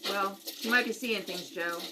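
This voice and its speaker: A woman playing a calm, low-key policewoman in an amateur radio play. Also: moderate pace, heavy background noise, natural voice. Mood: matter-of-fact. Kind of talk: amateur radio drama read-through.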